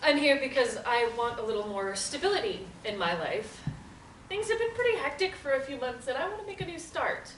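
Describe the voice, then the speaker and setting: A woman's voice: sits high, animated, brisk, a bit bright. An actress reading a matter-of-fact young woman graduate in a table read. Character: young woman graduate, blunt and self-assured. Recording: roomy audio from far off, clear background noise.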